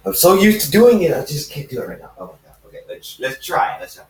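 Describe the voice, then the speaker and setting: A man reading amateur fan fiction and chatting to viewers in a halting, self-deprecating, rambling way. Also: a little high, huge pitch swings. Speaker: fan fiction reader, video host. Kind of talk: amateur story reading and casual vlog chat. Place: dark room, in front of camera. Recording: roomy echo, distant mic.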